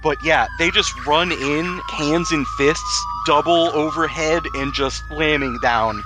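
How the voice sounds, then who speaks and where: A man's voice: a little high, slow, noisy background. A man running a tabletop role-playing game as game master, describing combat vividly and explaining the rules.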